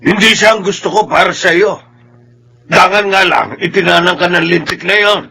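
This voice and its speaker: Gravelly, scornful old father. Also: high-pitched, normal speed, bright, forceful tone, heavily acted. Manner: gruff, sneering. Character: bitter, scornful old father scorning his son-in-law. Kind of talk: Filipino radio drama acting.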